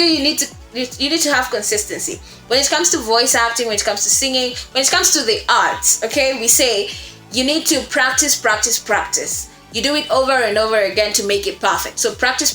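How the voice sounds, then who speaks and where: Bright-voiced woman teaching in a roomy space. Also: fairly high pitch. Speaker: voice acting instructor. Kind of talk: explanatory lesson on creating character voices. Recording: roomy echo, faint music behind.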